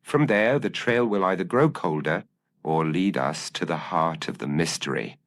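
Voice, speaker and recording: A man's voice: sits low, animated, normal speed, no echo, studio quality. A man voicing a brilliant, intense Victorian detective in an audiobook, speaking in a precise, commanding and formal way. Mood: determined.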